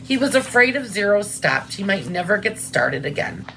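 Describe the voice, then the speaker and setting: A woman's voice: medium pitch, very animated, normal speed, vocal fry, pushed and bright, slight background noise, poor audio. A woman reading aloud expressively as a teacher, with simple, informal explanations about what to draw. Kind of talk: expressive read-aloud with drawing asides.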